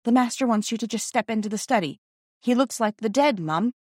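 A woman voicing a flustered, cheeky young Edwardian housemaid with a servant's rough grammar, rattling on in a storybook reading. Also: on the high side, animated, fairly quick, darkish tone, dry recording, studio quality, voice pushed up. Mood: alarmed, breathless.

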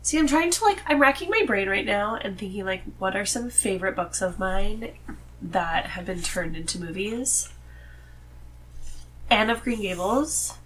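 A woman with a mid-pitched voice speaks as a guest in a casual conversation. She is informal and emphatic, explaining her opinions as if talking to someone she knows well. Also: animated, measured pace, fairly bright tone, slight background noise, poor audio. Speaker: podcast guest.

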